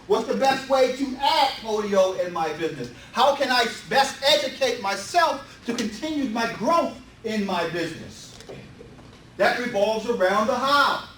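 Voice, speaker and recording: A man speaks as a motivational seminar presenter, in a high-pitched voice. He hammers his points with repetition and rhetorical questions. The recording is echoey and distant, with some faint background noise. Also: a bit bright. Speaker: real estate seminar presenter. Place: indoor meetup presentation room.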